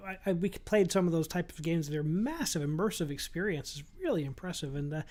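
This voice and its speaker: A man's voice: fairly high pitch, darkish tone. A man hosting a radio interview, talking effusively and restarting his phrases as he goes. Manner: effusive, quick, stumbling restarts. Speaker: radio show host.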